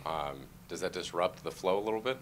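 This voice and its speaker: A man's voice: deep voice, brisk, slight background noise. A man asking hesitant questions at a press conference, with many fillers. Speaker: reporter.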